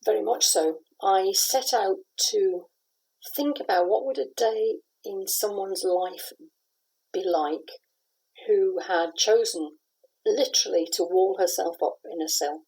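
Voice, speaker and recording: A middle-aged woman from the west of Scotland, a playwright answering interview questions in a thoughtful, measured way, pausing and restarting as she talks. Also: mid-range voice, vocal fry, a little mellow, husky, low-quality recording.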